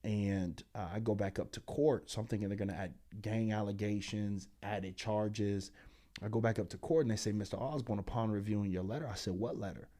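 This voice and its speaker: A man in his twenties, a pastor and speaker, telling his story as a podcast guest in animated, slangy talk. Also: fairly low pitch, fairly quick. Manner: animated, slangy storytelling that climbs loud when excited.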